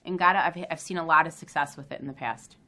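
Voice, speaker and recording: A woman acting as a patient, firm school counselor talks in a measured, coaxing manner. It is an amateur dramatization. The voice sits away from the microphone in an echoey room. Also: deep voice, sharp tone. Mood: reassuring. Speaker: school staff member counseling a student. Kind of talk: amateur acted role-play dramatization. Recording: roomy echo, distant microphone.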